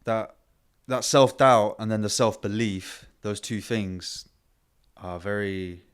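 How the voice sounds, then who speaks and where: A man's voice: on the low side, takes it slowly, clear, resonant tone. A man co-hosting a casual podcast, chiming in with short, supportive remarks. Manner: supportive, brief interjections. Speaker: podcast co-host.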